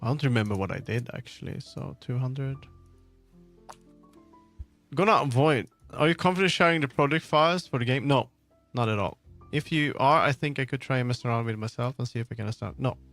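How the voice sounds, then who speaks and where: Guy streaming game dev, casual halting chat, mutters to himself, mid pitch, music playing behind. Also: a bit bright. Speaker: livestreamer making a game. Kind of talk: casual livestream chat while working. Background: background music.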